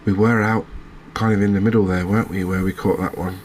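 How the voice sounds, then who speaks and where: A man giving relaxed ASMR commentary over a fishing video game, chatting to viewers and often checking in with tag questions. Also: deep voice, fast talker, slight background noise. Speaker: gaming video host.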